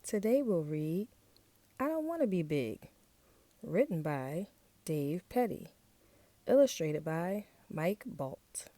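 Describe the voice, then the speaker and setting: A woman's voice: on the low side, sing-song, slow, pauses often, mellow, no room sound. A woman hosting a children's storytime, introducing a bedtime story. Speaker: children's channel host.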